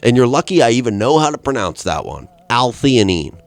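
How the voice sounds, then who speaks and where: A man in his twenties hosting a podcast, ranting in a rambling, profane and deadpan way. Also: medium pitch, moderate pace, gravelly, no echo. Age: 20s. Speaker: podcast host. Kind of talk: solo comedic rant.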